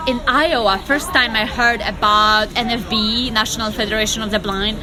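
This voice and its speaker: A woman's voice: on the high side, steady tone, normal speed, low-quality recording. A young woman from Poland with a Polish accent, telling her personal story as an interview guest in short, emphatic sentences. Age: young adult.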